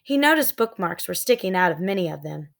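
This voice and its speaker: A woman's voice: lowish voice, big pitch swings, a little fast, gravelly. A woman reading scripted narration from a children's storybook. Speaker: storybook reader.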